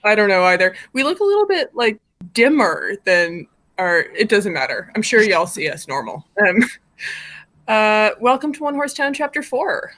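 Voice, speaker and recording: A woman's voice: medium pitch, very animated, moderate pace, a bit bright. A woman hosting a live stream in a casual, chatty and giggly way, speaking over a video call.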